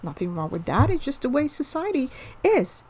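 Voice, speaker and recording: A woman's voice: high-pitched, very animated, normal speed, a little mellow, slightly rough. A woman from Morocco, hosting an informal lecture on culture, earnest and explanatory, often checking in with the listener. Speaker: host, cross-cultural trainer.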